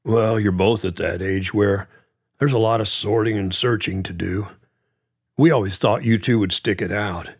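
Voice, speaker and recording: A man's voice: on the low side, steady tone, moderate pace, slightly rough, lo-fi sound. A man voicing a middle-aged father who talks in a plain, protective way.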